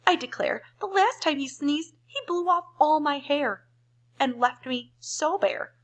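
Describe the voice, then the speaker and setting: A woman voicing an aggrieved storybook bear, whining and complaining with loud emphasis. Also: high voice, animated, measured pace, pitched above the performer's own voice.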